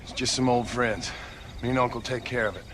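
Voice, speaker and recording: An actor playing a protective frontier rancher and ex-outlaw with a rural American Western drawl and a plainspoken, commanding manner. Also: male voice, mid-range voice, fairly even intonation, a little fast, a bit bright, a little hiss or hum, natural voice. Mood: grave, firm. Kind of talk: video game voice acting, Western drama.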